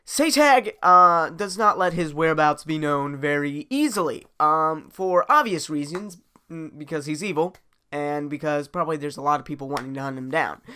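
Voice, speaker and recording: A man casually improvising a story as host, halting and thinking aloud as he goes. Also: a little high, lively intonation, bright, forceful tone, smooth.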